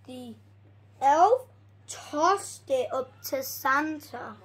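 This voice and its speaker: A young reader reading a storybook aloud haltingly, stumbling over and repeating words. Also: sits high, lively intonation, low-quality recording.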